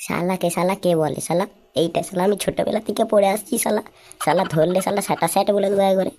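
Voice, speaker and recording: A woman voicing a cheeky, slow-witted schoolboy in a comic cartoon, whining and protesting. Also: medium pitch, warm tone, slight background noise, close to an everyday voice. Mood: cocky. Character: cheeky, slow-witted schoolboy pupil answering back to his teacher.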